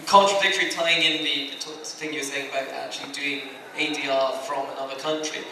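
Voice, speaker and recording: A man's voice: mid-range voice, steady tone, fairly quick, bright, forceful tone. A man from Scotland with a British accent, an industry panelist telling anecdotes in a casual, rambling way. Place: large room at a fan convention. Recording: very echoey hall, distant mic, clear noise.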